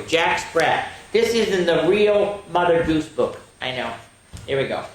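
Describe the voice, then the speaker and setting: Older female children's story host, low-to-mid register for a woman, sing-song reading with chatty asides, roomy echo. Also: a little hiss or hum. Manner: addresses young listeners directly. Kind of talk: reading nursery rhymes aloud to children. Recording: roomy, echoing room sound.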